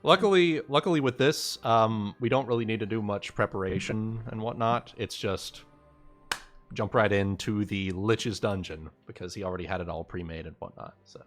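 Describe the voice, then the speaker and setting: A man's voice: on the low side, normal speed. A man chatting casually with a group on a livestream, swearing freely. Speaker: game master. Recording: music playing behind the voice. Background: music.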